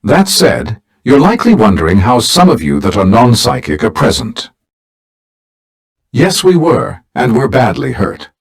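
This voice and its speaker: A man's voice: medium pitch, huge pitch swings, husky, full character voice. A man acting a dignified, formal older mentor who leads a team of heroes in a video game. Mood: grave briefing. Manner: formal, measured, grave.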